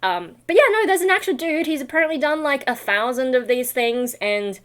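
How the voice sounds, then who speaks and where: A woman's voice: high-pitched, animated, a little fast, fairly bright tone. A tired-sounding woman chatting in a casual, rambling vlog style, scattered and self-deprecating. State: tired, not fully awake. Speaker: vlogger and metal vocalist.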